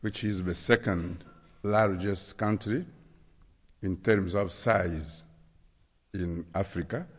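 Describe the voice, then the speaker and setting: Congolese guy preaching in a church, slow careful delivery, big echoey room. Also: low voice, breathy edge. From Democratic Republic of the Congo. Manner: slow, deliberate, spells out words. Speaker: guest preacher. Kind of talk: sermon. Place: church sanctuary. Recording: church hall reverb.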